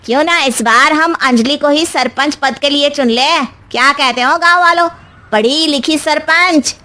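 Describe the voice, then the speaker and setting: A woman's voice: sits high, a little slow, bright, forceful tone. A woman playing an outspoken, gossiping village woman in a cartoon story, emphatic and exclamatory. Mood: rallying. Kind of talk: animated Hindi story dialogue.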